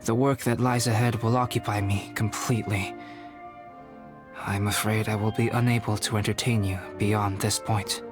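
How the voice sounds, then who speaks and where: A man's voice: lowish voice, little pitch movement, music in the background, natural voice. A man playing a composed, aloof young alchemist in a video game, speaking formally and thoughtfully. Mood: grave, guarded. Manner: measured, formal, scholarly. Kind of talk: dramatic video game voice acting.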